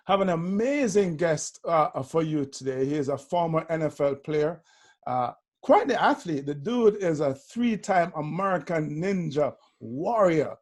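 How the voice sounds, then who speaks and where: A man's voice: fairly high pitch, a little mellow. A middle-aged man with a Jamaican accent hosting a podcast interview in an upbeat, loose, conversational way. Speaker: host.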